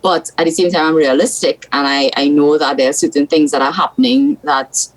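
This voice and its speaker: A woman from South Trinidad speaks with a Trinidadian accent and a low-pitched voice. As a senator on a political talk show, she gives her views bluntly and frankly. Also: steady tone, fairly quick, rough recording. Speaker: senator, guest.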